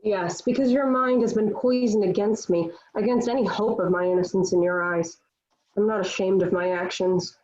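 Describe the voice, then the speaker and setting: A woman's voice: mid-range voice, fairly even intonation, normal speed, darkish tone, natural voice. Woman acting devout young warrior girl facing death, earnest stage-play speeches, muffled lo-fi audio. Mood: defiant. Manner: earnest, declamatory. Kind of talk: dramatic table read of a historical play.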